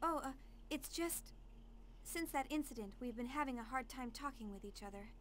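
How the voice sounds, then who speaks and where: An actress playing a gentle, earnest young woman engineer in a video game cutscene, polite and tentative. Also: sits high, expressive, moderate pace, a little hiss or hum. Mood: hesitant, uneasy.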